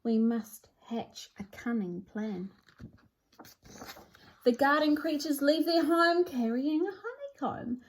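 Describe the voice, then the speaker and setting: A woman's voice: high-pitched, expressive, slow pace, a little hiss or hum. A woman presenting and reading a children's picture book aloud in a theatrical, sing-song rhyming delivery. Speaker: storybook reader and presenter. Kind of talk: expressive children's read-aloud.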